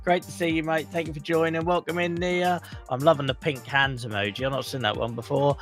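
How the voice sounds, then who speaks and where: Brief: male livestream host, slightly high voice, croaky, chatty and welcoming, light music behind. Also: fairly even intonation, brisk. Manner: chatty, greets viewers warmly by name. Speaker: livestream talk show host. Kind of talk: casual livestream chat.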